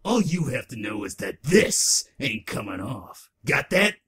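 A man's voice: medium pitch, sing-song, measured pace, fairly bright tone, husky, full character voice. A man voicing a manic, bossy masked gangster trickster in a comic-book dub. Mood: defiant. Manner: brash, exclamatory, wildly swooping.

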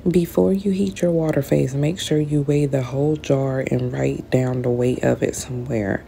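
A woman's voice: rumbling low, fairly even intonation, moderate pace, gravelly, warm tone, soft background music. A woman, narrating a skincare tutorial in a casual, explanatory voice-over, sounding stuffy as she gets over an illness. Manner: casual, explanatory, addresses viewers directly.

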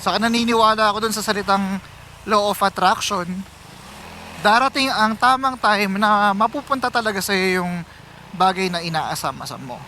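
A man's voice: high-pitched, normal speed, sharp tone, slight background noise. A Filipino man chatting casually to viewers as he rides, conversational and mixing in English phrases. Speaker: motorcycle vlogger. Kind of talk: casual vlog chat to viewers while riding. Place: outdoors, riding a motorcycle on city roads.

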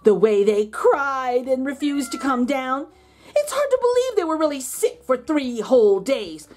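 A woman's voice: high voice, big pitch swings, unhurried, darkish tone, a little hiss or hum. A woman voicing an indignant, self-pitying pet dog in a children's storybook, pleading with dramatic emphasis. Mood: defensive scorn. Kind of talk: children's storybook read-aloud.

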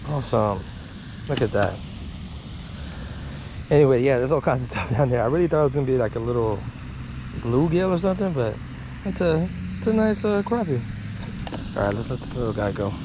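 A man giving casual running commentary to viewers while fishing at a park pond, getting excited over each catch. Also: medium pitch, brisk, pauses often. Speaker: fishing vlogger. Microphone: head-mounted action camera. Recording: outdoor camera audio with noise.

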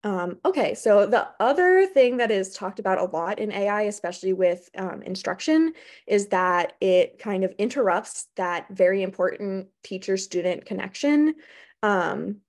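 A young American woman giving an informal, explanatory presentation as an academic librarian on a video call, pausing often with ums. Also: medium pitch, animated, a little mellow. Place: small indoor room on a video call.

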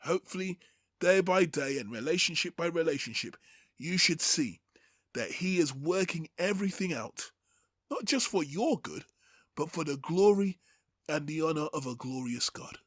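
A man hosting a Bible teaching, speaking in a deliberate, emphatic, phrase-by-phrase sermon style. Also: fairly high pitch, lively intonation, no room sound, studio quality. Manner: deliberate, emphatic, phrase-by-phrase delivery with rhetorical questions.

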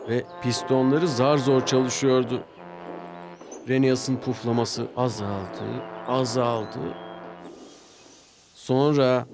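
A man narrating a scripted children's story in an expressive storytelling style. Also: medium pitch. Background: orchestral music with brass.

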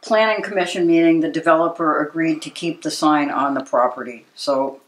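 An American woman in her sixties explaining things in a measured way during a conversational interview as a local preservation advocate. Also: sits low. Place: living room with books, indoors. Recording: roomy, echoey living room.

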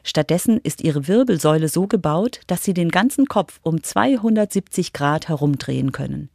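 A woman narrates a children's radio feature from a script. Her voice sits a little low and is recorded very dry, with no room around it. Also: animated, moderate pace, creaky, a little mellow, no echo. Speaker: reporter narrator.

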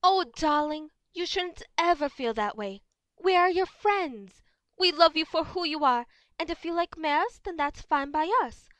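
A refined lady unicorn acted by a woman, high in voice and full of genteel drama. She is doting and theatrical, as in a fan audio play, recorded very dry. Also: expressive, unhurried, fairly bright tone, no echo. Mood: affectionate, haughty. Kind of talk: amateur audio drama acting.

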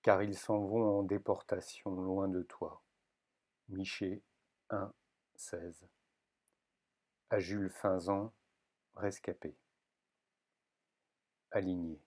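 A man reading poems aloud in a solemn, measured manner, leaving pauses between short phrases. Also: deep voice, little pitch movement, darkish tone. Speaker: poetry reader.

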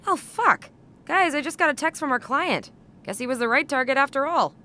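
An actress playing a surly, sardonic young hellhound receptionist, blunt in manner, in an adult cartoon. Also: female voice, sits high, animated, moderate pace, sharp tone, soft background music. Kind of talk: adult animated comedy acting.

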